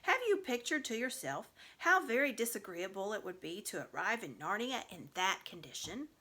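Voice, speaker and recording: A woman's voice: medium pitch, very animated, normal speed, pushed and bright. A woman voicing a proud, pompous talking male war horse with haughty, formal, blustering speech in a storybook reading. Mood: offended, haughty.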